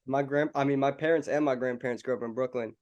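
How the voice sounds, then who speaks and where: A man's voice: medium pitch, fairly even intonation, fast, darkish tone, dull audio. A young adult man hosting an interview, friendly and casual, calling his guest "bro" and "man".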